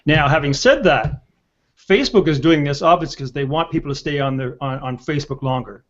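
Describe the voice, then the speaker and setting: A man's voice: a little high, fairly quick. A man in his sixties hosting a conversational panel discussion over a video call. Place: indoor room on a video call. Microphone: video call with earpiece. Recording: dull lo-fi video call audio.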